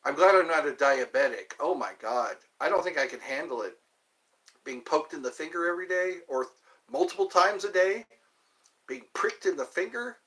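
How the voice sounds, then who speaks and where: Man, 50s, livestream host, rambling casual chat, self-deprecating jokes, lively pitch. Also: normal speed, low-quality recording. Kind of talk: casual chat answering viewers.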